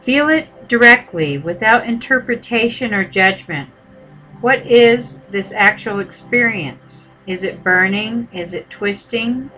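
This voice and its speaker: A woman meditation teacher leading a guided meditation in an unhurried, measured way, with pauses between short phrases. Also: mid-range voice, sharp tone, rough recording.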